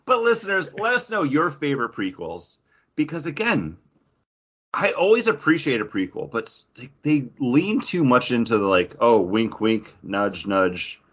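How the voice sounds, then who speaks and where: A man's voice: mid-range voice, sing-song, moderate pace, a bit bright, slightly rough, muffled recording. A man co-hosting a podcast in casual, rambling pop-culture chat with some mild swearing. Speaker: podcast co-host.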